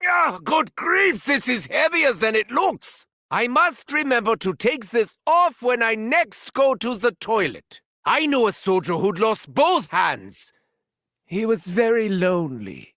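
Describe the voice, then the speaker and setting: German mad doctor, manic, sadistic, high and swooping, gravelly edge, bright sharp tone, slow deliberate delivery, dry studio sound. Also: male voice, no echo, exaggerated voice. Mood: sardonic. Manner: theatrical, cackling, shouted gloating. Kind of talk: video game character barks.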